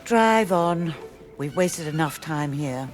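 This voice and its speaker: A commanding woman seer with a cool, lively, rising and falling delivery. Loud music plays behind her. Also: sits low, slow pace. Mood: aloof. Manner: aloof, cutting, commanding. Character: cold, cryptic woman seer.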